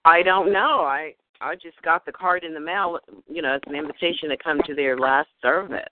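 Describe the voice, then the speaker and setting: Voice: medium pitch, moderate pace, no echo. A relative sharing family news on a phone call in a casual, conversational way. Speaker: family member on a conference call. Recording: dry tinny phone line.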